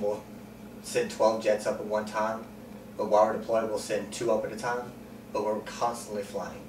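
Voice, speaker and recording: A man in his twenties answering interview questions calmly and plainly, with frequent ums. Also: on the low side. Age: 20s. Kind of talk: sit-down interview. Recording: roomy, distant mic, noisy.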